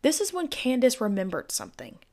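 A woman hosting a true crime podcast, her voice hoarse and giving out, narrating casually with chatty, speculative asides. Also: on the high side, huge pitch swings, fairly quick, a little mellow.

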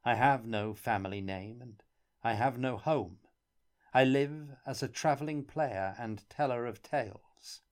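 A man voicing a gentle wandering storyteller. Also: medium pitch, a little slow, voice pushed up, natural voice. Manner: gentle, calm. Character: young travelling player, gentle and steady.

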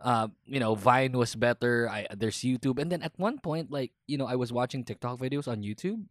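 A man's voice: medium pitch, fairly quick, dry recording, clean studio sound. A young man with a Filipino accent hosting a casual podcast interview, laughing often and switching between English and Tagalog. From Philippines. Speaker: podcast host.

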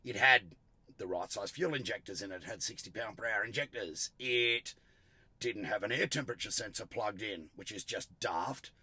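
Bright, gravelly middle-aged Australian man, sore throat. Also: on the low side, moderate pace, no echo. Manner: blunt, emphatic, salty-tongued. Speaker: host of an automotive tuning show. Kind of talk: casual solo podcast talk.

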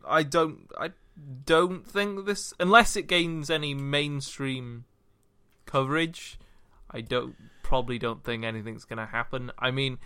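A young Welsh man with a Welsh accent, co-hosting a casual podcast chat and telling stories in a halting, stop-start way. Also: mid-range voice, fairly even intonation, bright, forceful tone, clean tone. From Wales. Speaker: podcast co-host.

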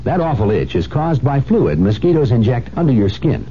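A man reading a scripted product pitch as a 1970s radio commercial announcer. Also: big pitch swings, moderate pace, mellow. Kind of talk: 1970s radio advertisement read. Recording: dull old radio recording, faint hum.

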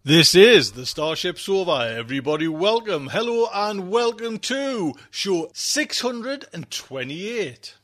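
A man's voice: high voice, very animated, takes it slowly, few pauses, a bit bright. A man hosting a podcast casually, chatty and rambling, often repeating his phrases. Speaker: podcast host.

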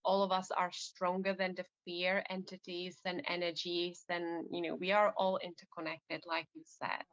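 Soft-spoken woman, muffled audio. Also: fairly low pitch, level tone, normal speed, dry recording. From Czech Republic. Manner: earnest, soft, full of fillers like "you know". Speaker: podcast host. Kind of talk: reflective interview conversation. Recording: dull lo-fi sound.

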